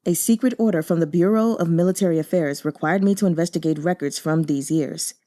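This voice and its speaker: Icy noble lord giving orders, read by a woman, clipped and flat, low mellow tone. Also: fairly quick, natural voice. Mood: cold. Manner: cold, clipped and authoritative. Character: cold, commanding nobleman, a stern grown man.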